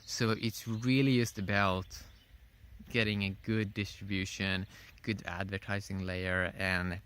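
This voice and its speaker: A man giving a casual, unscripted opinion monologue in a rambling, halting way, often repeating his phrases. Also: deep voice, flat delivery, a bit bright, smooth. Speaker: vlogger.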